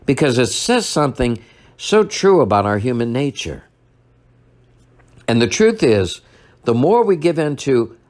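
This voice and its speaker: An older priest preaching from a church lectern, his lowish voice rising and falling widely as he tells a story. He paces it deliberately and stretches key phrases out slowly for weight. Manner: measured storytelling, slowing for emphasis. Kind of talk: sermon.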